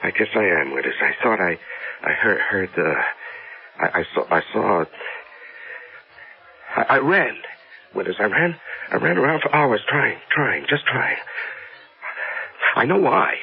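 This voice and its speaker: An American actor playing an obsessed, grieving middle-aged businessman in a radio drama, halting and repeating his words. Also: fairly low pitch, very animated, gravelly, full character voice. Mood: exhausted, halting. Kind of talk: 1970s radio drama acting. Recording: dull vintage radio recording.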